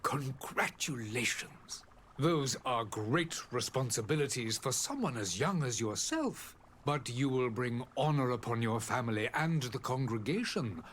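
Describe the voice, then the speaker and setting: A man's voice: mid-range voice, lively intonation, fairly bright tone. A courtly older ambassador in a video game, gracious yet grave. His florid, formal speech rises and falls widely, with faint noise in the background. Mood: gracious, regretful. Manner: formal, florid, hesitant pauses. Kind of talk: scripted video game dialogue.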